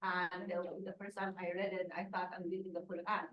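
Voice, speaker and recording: A woman's voice: fairly low pitch, level tone, brisk, highs cut off. A woman from Syria on a real estate panel, speaking hesitantly with many restarts and fillers. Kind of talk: panel introduction. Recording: echoey room, distant mic, faint noise.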